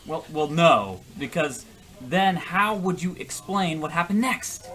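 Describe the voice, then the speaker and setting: A man's voice: fairly high pitch, animated, takes it slowly, sharp tone, slight background noise, exaggerated voice. A man playing a gullible, defensive husband who rambles through his tall story with exasperation in an old-time radio comedy.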